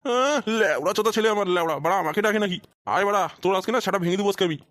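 Man acting a cranky, groaning old father, talking fast. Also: high-pitched, a bit bright, no echo, clean studio sound. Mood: scolding, pained. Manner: foul-mouthed, groaning, scolding. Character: cranky old village father with an aching back. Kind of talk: crude adult comedy cartoon dubbing.

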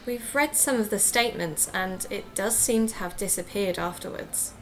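A woman's voice: medium pitch, moderate pace, fairly bright tone, slight background noise, lightly acted, rough recording. An actress playing a polite, inquisitive female podcast investigator conducting an interview in an audio drama.

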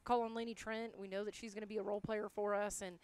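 A woman's voice: mid-range voice, level tone, quick pace, a little mellow, clear, resonant tone. A woman in her thirties speaking as a coach in a postgame interview on a headset mic, upbeat and colloquial. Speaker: college softball head coach. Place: indoors in front of a backdrop.

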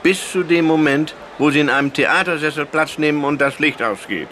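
A man's voice: on the high side, a little fast, fairly bright tone, slight background noise, close to an everyday voice. An actor playing an officious, matter-of-fact male throat doctor in a radio comedy interview, pompous with jargon and blunt in asides.

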